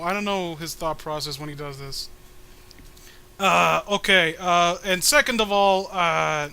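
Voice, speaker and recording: A man with a high, sharp-edged voice riffs casually as he co-hosts a read-along. He rants in exasperation, swearing and halting as he goes. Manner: exasperated ranting, profane, halting. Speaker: co-host of a comic read-along. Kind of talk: casual comedic riffing and commentary.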